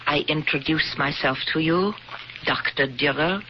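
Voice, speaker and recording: An actress playing a calm, cold woman taking revenge as a Holocaust survivor, in an old radio drama. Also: low voice. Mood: icily accusing. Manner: measured, icy, deliberate. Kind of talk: 1970s radio drama acting. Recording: dull old radio broadcast with hiss.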